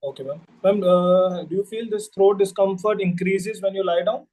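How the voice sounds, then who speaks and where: High, mellow, polite young-sounding male doctor. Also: fairly even intonation, moderate pace, poor audio. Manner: polite, hesitant, formal, often says ma'am. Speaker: doctor practising a patient consultation. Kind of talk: role-played clinical history taking.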